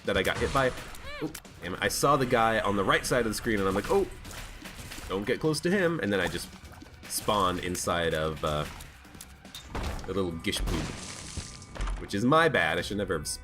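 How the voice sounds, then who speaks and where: A man with a stuffed-up, hoarse voice, chatting casually as he plays a video game. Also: fairly low pitch, expressive, normal speed, pauses often. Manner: chatty, thinking aloud while playing. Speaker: gaming channel host. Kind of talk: casual let's play commentary. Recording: background game music.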